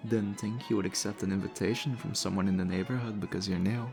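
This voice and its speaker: A man's voice: on the low side, steady tone, fast talker, creaky, a little mellow. A man playing a charming, teasing vampire host in an intimate, conversational roleplay style. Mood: suave, curious. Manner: flirtatious, suave, conversational. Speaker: audio roleplay voice actor. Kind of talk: intimate ASMR roleplay acting. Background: background music.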